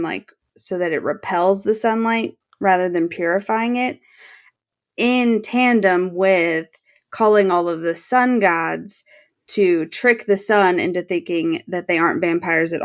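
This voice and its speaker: A woman co-hosting a podcast, casually explaining and recapping a story in an informal way. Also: lowish voice. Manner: informal, sweary, laughs readily. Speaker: podcast co-host.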